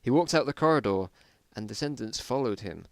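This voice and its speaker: A man reads a story aloud in a dramatic but self-mocking way, often stepping out to comment in exasperation on the writing. The recording is dry and close, and his pitch is middling. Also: animated, a little fast, creaky, no room sound. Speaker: reader of own story. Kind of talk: dramatic reading with joking asides.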